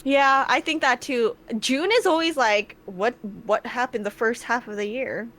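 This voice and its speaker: A woman's voice: fairly high pitch, moderate pace, a bit bright. A woman co-hosting a casual podcast with her sister, stuffy with a cold, chatty and giggly. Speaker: podcast co-host. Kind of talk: casual chat between sisters.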